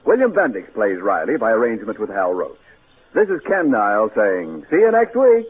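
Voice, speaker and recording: A man's voice: on the low side, wildly swooping pitch, normal speed. An American man reading scripted announcements and sponsor messages in 1940s radio style. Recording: narrow, hissy 1940s radio broadcast.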